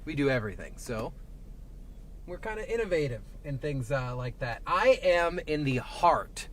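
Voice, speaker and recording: A man's voice: on the high side, sing-song, moderate pace, fairly bright tone. A man with a Southern twang, a traffic safety reporter chatting casually through a live stream from inside his truck, rambling with lots of fillers. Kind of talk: live-streamed casual commentary while driving. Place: inside a parked and moving truck. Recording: faint vehicle noise behind.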